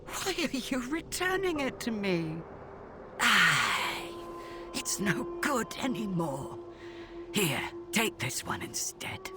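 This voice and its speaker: A woman's voice: fairly high pitch, huge pitch swings, takes it slowly, loud music behind the voice, exaggerated voice. An actress playing a protective, devout village mother figure in a video game, fussing and scolding. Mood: worried, scolding. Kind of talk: video game cutscene acting.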